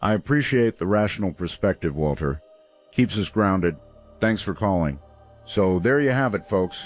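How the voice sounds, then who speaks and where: An actor playing a calm, measured, folksy late-night call-in host in a radio drama. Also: male voice, low-pitched, dull audio, faint music behind. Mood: reassuring.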